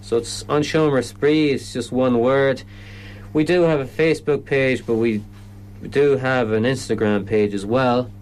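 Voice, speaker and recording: A man's voice: medium pitch, steady tone, a little slow, a little mellow, rough recording. An Irish man explaining his comedy event in a radio interview, often stopping and restarting sentences. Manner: hesitant, lots of restarts.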